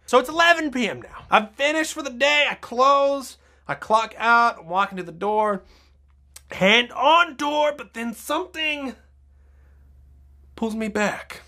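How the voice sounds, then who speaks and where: A young woman telling a comic personal story, animated and breaking into shouts and laughter. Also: high voice.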